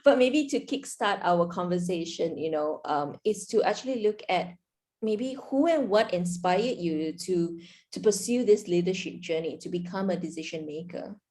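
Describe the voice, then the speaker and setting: A woman's voice: fairly low pitch, moderate pace, a little mellow. A woman hosting an online interview, introducing the series and her guest in a friendly, upbeat, chatty way. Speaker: host.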